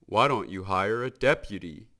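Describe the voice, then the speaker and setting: A man's voice: fairly low pitch, animated, normal speed, sharp tone. A man voicing a laid-back, helpful camper van from a children's storybook in a plain, easygoing way.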